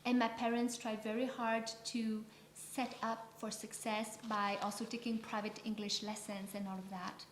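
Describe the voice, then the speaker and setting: A woman's voice: a little high, level tone, a little hiss or hum. Woman's voice in an echoey room. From Vietnam. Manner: measured, storytelling. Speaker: guest. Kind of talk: interview conversation. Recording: echoey room, faint ambience.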